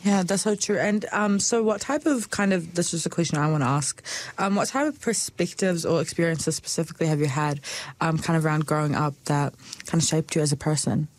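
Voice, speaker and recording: A woman's voice: sits low, no echo. A young woman hosting a podcast, chatty and bubbly. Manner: bubbly, chatty, quick to cheer and laugh. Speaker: podcast host. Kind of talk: casual interview chat.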